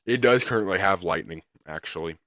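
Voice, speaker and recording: A man hosting a live weather stream, answering viewer questions casually and hesitantly, his voice going hoarse. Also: deep voice, fairly quick, bright, forceful tone, dry recording, studio quality. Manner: hesitant, with many ums and restarts. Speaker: livestream weather host.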